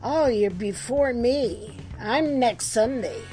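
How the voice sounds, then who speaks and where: A woman's voice: on the high side, slow, dark tone, faint music behind. An American woman in her late seventies chatting casually as a podcast co-host. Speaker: podcast co-host, pet groomer. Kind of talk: casual chat.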